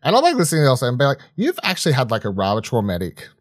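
A man co-hosting a podcast, chatting casually and swearing freely, with a halting, rambling way of talking that often restarts mid-sentence. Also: medium pitch, wildly swooping pitch, dry recording, clean studio sound. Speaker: podcast co-host reviewing film and TV. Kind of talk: casual unscripted chat.

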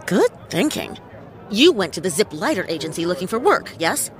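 An actress playing a cocky, quick-witted detective in a comic noir audio drama, theatrical, wry and self-satisfied. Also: female voice, on the low side, very animated, soft background music. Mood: wry, assured.

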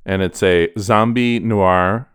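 A man's voice: fairly low pitch, fairly even intonation, slow pace, fairly bright tone, smooth, no echo, clean studio sound. A man co-hosting a podcast in casual chat, talking in a chatty, self-deprecating way. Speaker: podcast co-host.

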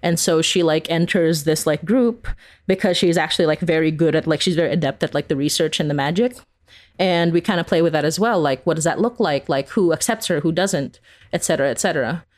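A woman's voice: low voice, brisk, darkish tone. A woman guest chatting casually in an interview, giggly and rambling, with very informal phrasing. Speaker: podcast creator, interview guest.

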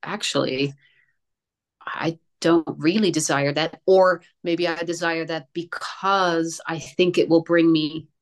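A woman's voice: low voice, fairly even intonation, takes it slowly. A woman from the Netherlands, a native Dutch speaker, talking reflectively and hesitantly in casual conversation, searching for words.

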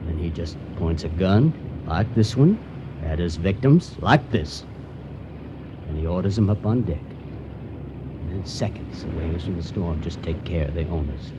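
Sly folksy pirate crook in a radio play, low drawl that swings all over, taunting. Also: male voice, animated, a little slow. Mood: smug menace. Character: folksy menacing con-man pirate posing as pious sailor. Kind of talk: radio drama acting. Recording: noisy storm-like ambience behind voice.

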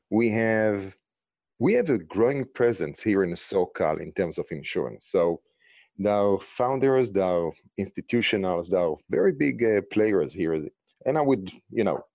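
A man in his forties with an Israeli accent, a guest telling his career story in a podcast interview, animated and restarting his sentences. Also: dry recording. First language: Hebrew. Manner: animated, restarts sentences, pitch jumps up on stressed words. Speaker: guest, insurtech founder.

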